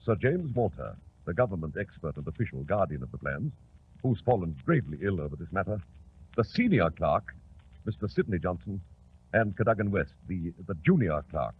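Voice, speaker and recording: An actor playing a stately, self-important British government official in formal 1940s radio drama style. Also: male voice, sits low, very animated, fairly quick. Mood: solemn, explanatory. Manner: formal, weighty, pompous. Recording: scratchy 1940s radio broadcast, narrow band.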